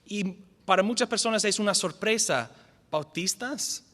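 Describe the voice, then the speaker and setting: A man who is a non-native speaker, teaching a church lecture series as pastor, earnest, often restarting words and slowly repeating key definitions. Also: high-pitched, pushed and bright, big-room sound. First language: not the language spoken. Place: church hall.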